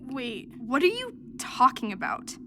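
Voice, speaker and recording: A very high, bright cartoonish young sheep, proud and naive. She whines and protests with excitable indignation, taking her time over the words in a children's audio drama. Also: unhurried, a little hiss or hum, heavily acted. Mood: boastful, puzzled.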